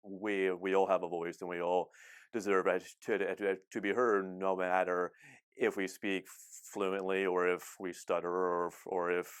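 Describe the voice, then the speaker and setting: A man's voice: sits low, level tone, unhurried, clear, resonant tone, no echo, studio quality. A man with an audible stutter, repeating sounds as he speaks in a documentary interview.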